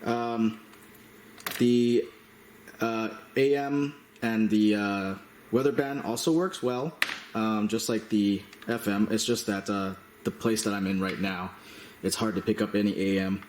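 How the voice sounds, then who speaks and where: A man giving a casual hands-on product demonstration as a reviewer, speaking in a halting, matter-of-fact way. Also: lowish voice, darkish tone. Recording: roomy echo, slight background noise.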